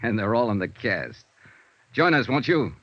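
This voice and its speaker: A man's voice: medium pitch, sing-song, normal speed, dry recording. An American actor playing a tough, shrewd male investigator in a 1950s radio noir serial, with clipped first-person delivery. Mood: brisk, confident. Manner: clipped, hard-boiled delivery. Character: tough, shrewd male freelance insurance investigator, hard-boiled. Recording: muffled vintage radio recording, orchestral music.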